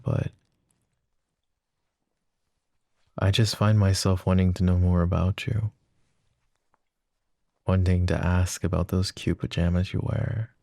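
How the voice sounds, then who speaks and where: Casting: male actor as smitten mafia boss for romance ASMR; very low, creaky voice, flirty yet self-deprecating, hesitant murmur. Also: a little mellow, no echo, studio quality. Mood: earnest, smitten. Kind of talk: intimate ASMR romance roleplay.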